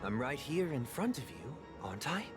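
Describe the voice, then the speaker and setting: An actor playing a resolute, composed young zealot in a video game, with a poised, formal, theatrical delivery. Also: male voice, medium pitch, sing-song, normal speed, natural voice. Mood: defiant, composed. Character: resolute young male zealot, devoted brother, composed and lofty. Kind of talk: dramatic video game acting. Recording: game audio under loud music.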